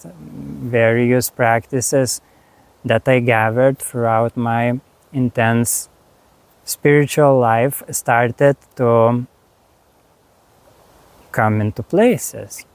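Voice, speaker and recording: A man with a Lithuanian accent, a spiritual teacher talking slowly and haltingly to camera about his course, with many pauses and restarts. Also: medium pitch, a little mellow, smooth, no echo. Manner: unhurried, halting, frequent fillers and restarts. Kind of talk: reflective monologue.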